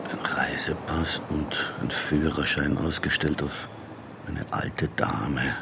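An actor playing a morose, brooding middle-aged police inspector in a radio drama, grumbling with dry sarcasm. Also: male voice, a bass voice, fairly even intonation, slow, vocal fry, background noise, heavily acted. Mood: brooding, weary.

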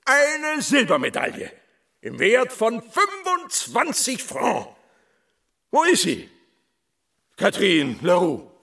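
Shrill, creaky ceremonial announcer. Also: male voice, wildly swooping pitch, bright, forceful tone, exaggerated voice. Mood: ceremonious. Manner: drawn-out, declamatory announcing. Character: shrill, ceremonious official calling out award winners. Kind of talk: German radio play acting.

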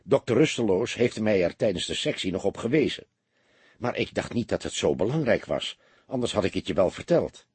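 A man's voice: fairly low pitch, lively intonation, brisk, slightly rough, no echo. A man voicing a young police detective, a puzzled and wary junior partner in an audiobook, questioning and slightly defensive. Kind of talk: audiobook character dialogue.

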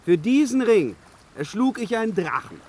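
An actor playing a naive, boastful young dragon slayer in a fantasy radio play, swaggering and defiant. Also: male voice, sits high, very animated, moderate pace, darkish tone, slightly rough, heavily acted. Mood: haggling.

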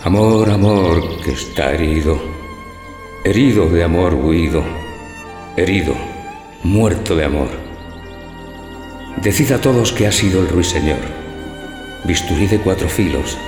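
An actor playing a gentle, naive, melancholy older husband in a theatrical radio drama, speaking tenderly and deliberately. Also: male voice, low-pitched, animated, over music. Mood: sorrowful. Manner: tender, poetic, deliberate delivery.

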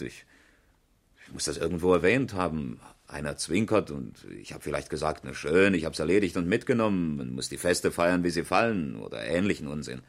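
A man's voice: rumbling low, gravelly, heavily acted. A man acting as an engineer and amateur rally driver in a 1970s German radio crime drama, flippant and defensive in manner.

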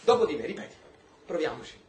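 High-voiced streetwise prisoner, acted by a man, echoey room. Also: very animated, quick pace, a little mellow, slight background noise. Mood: teasing. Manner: slangy, coarse, coaxing. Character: brash, streetwise male prisoner Jamal, friendly mentor. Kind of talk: stage-style radio drama acting. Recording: roomy echo, faint music behind.

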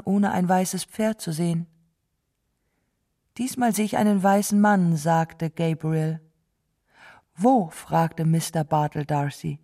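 A woman reading literary narration and quoted dialogue for a radio drama in a measured, deliberate way. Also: lowish voice, warm tone.

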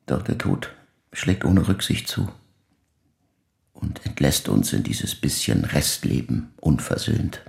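A bereaved older man with an extremely low, gravel-filled voice. He reflects in measured, literary phrases, pensive and subdued, as in a radio drama monologue. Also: very animated, heavily acted. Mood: grieving. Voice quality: very deep, gravelly, breathy at phrase ends. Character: grieving older man speaking reflectively in therapy.

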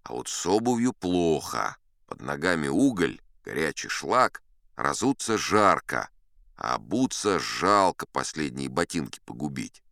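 Man playing a deep-voiced, wry old-salt sea captain. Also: very animated, measured pace, creaky, fairly bright tone, no room sound, studio quality, full character voice. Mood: wry, unhurried. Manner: conversational, wry, leisurely asides to the listener. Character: seasoned sea captain spinning tall tales, unflappable, chatty. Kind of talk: theatrical audiobook storytelling in first person.

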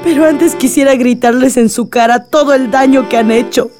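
A woman's voice: sits high, normal speed, over music, lightly acted. An actress in a radio drama playing an anguished, vengeful daughter grieving her murdered father. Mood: enraged.

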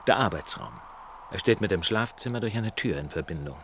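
Cool wry detective guy, really deep voice, unruffled, radio play, noisy track. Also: expressive, brisk. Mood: confident. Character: cool, wry, self-assured male detective on a burglary. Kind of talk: radio drama acting.

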